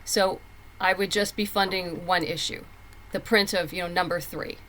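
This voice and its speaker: A woman's voice: fairly low pitch, fairly even intonation, moderate pace, a bit bright, rough recording. A middle-aged woman talking casually as an interview guest, chatty and candid with emphatic phrasing. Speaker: podcast guest, comic book writer.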